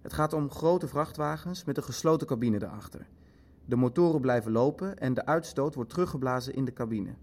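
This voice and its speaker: Nazi paper-pusher in a radio play, mid voice, dry and detached, bit halting, fussy about figures. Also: male voice, medium pitch, expressive, darkish tone. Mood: detached, factual. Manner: hesitant, clinical, leafing through his facts. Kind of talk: dramatic dialogue in a radio play.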